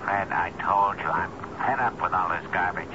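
Low, gruff, irritable boss played by a man. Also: normal speed, close to an everyday voice. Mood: irritated. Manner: gruff, dismissive. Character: irritable, penny-pinching male building boss on telephone. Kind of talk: radio drama acting. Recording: noisy, muffled old radio recording.

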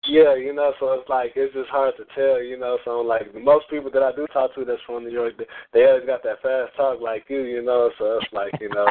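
A man's voice: mid-range voice, fairly quick, hardly any pauses, slight background noise. A man with a mid-range voice hosts a call-in radio show. He talks loosely and leans on lots of filler words. The sound is tinny, like a phone line. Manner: relaxed, heavy on fillers. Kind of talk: casual call-in interview.

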